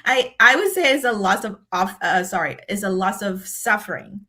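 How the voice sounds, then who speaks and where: A woman with a Thai accent talks in a bright, clear voice as a podcast guest. She sounds earnest and reflective, laughs easily, and repeats words as she works out her thoughts. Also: mid-range voice, expressive, normal speed. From Thailand. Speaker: guest, decision scientist and speaker. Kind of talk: podcast interview.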